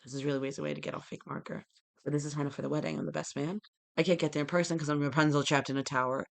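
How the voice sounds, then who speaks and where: A young man talking straight to camera in a disjointed, rambling monologue that breaks into sudden loud outbursts. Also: on the high side, lively intonation, very fast, creaky. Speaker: vlogger.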